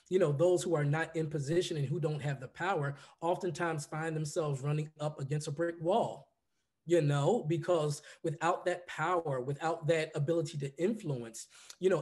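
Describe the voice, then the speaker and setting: A man's voice: a little high, a little mellow. A man in his thirties speaking earnestly on a video call panel, building up to punchy points. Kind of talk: panel discussion. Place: room at home.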